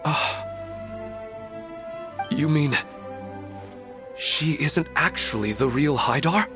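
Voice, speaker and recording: Slow-spoken, troubled game father. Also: fairly high pitch, music in the background. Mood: confused, worried. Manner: hesitant, troubled. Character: anxious, dazed father searching his memory for his daughter. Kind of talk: video game voice acting.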